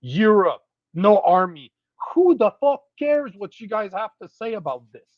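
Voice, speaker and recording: A man's voice: high-pitched, big pitch swings, a little slow, no room sound, phone-quality sound. A Canadian man with a French accent in a casual political chat, blunt, scornful and full of swearing.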